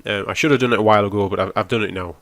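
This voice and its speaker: Brief: young gaming host, stuffy nasal cold, lowish voice, dry close recording, rambling walkthrough. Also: male voice, steady tone, very fast, a bit bright, dry recording. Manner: rambling, explains as he goes, frequent ums. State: has a cold, nasal and snotty. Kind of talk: casual gameplay walkthrough.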